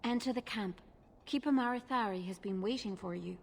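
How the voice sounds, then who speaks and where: A woman's voice: on the high side, a little fast, slight background noise, lightly acted. An actress playing a stern, proud female elven camp guard in a video game, terse and commanding.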